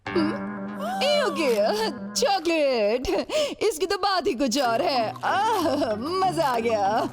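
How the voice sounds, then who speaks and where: Pampered young mermaid, cartoon dub, high lively voice, pouty, indulgent, heavy music bed. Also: female voice, extremely slow, full character voice. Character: pampered young mermaid, sweet-toothed, whiny, coquettish. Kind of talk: Hindi cartoon dub acting. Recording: loud music under the voice.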